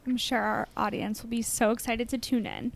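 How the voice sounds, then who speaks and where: A woman's voice: a little high, fairly even intonation, normal speed, gravelly. Enthusiastic woman co-host. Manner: enthusiastic, encouraging. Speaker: podcast co-host. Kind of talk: casual interview chat.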